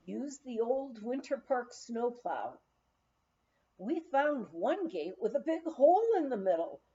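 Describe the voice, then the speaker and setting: A woman reading a first-person memoir aloud in a measured storytelling manner with expressive emphasis, on a dull, slightly muffled recording. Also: on the high side. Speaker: audiobook reader of a memoir.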